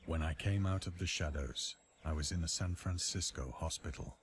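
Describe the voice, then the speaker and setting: A haunted, deep-voiced man confessing his slide into madness. He narrates a horror tale gravely and deliberately, in a literary storytelling style. Clear atmospheric noise sits behind the voice. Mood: haunted, delirious. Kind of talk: dramatic scripted horror narration.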